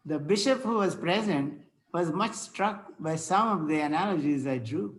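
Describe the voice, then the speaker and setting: A man playing a courteous, solemn Victorian clergyman in a play read-through, speaking formally and a little haltingly. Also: fairly high pitch, expressive, natural voice, low-quality recording. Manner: formal, preachy, halting as he reads. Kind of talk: amateur play table read.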